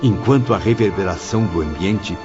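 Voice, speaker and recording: A man playing a reflective, courteous spirit who narrates his memoir in a formal, expressive audiobook drama style. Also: sits low, brisk, lightly acted. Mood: reflective narration. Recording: dull lo-fi audio under music.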